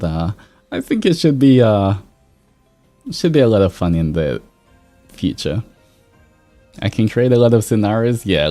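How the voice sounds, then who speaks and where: A man's voice: lowish voice, a little mellow. A man hosting a livestream, chatting casually to his viewers in a laid-back, rambling way. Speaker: livestreamer, VTuber host. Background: background music.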